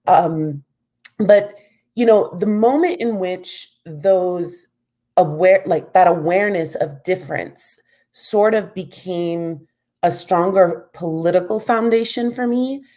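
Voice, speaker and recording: American woman guest, low warm voice, reflective, conversational. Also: slow. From United States. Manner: thoughtful, frequent "you know". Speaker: guest academic. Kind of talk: interview answers.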